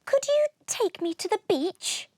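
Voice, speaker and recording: A woman's voice: very high voice, very animated, measured pace, darkish tone, no room sound, clean studio sound, voice pushed up, heavily acted. A woman voicing a little female fire dragon in a storybook, timid and polite, with a quivery way of speaking. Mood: timid, grateful. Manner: shivering, quivering speech.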